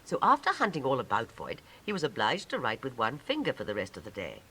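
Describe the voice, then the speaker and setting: A woman's voice: a bass voice, huge pitch swings, quick pace, pushed and bright, slight background noise, poor audio. A woman with a British Received Pronunciation accent reading scripted storybook narration in a 1950s style.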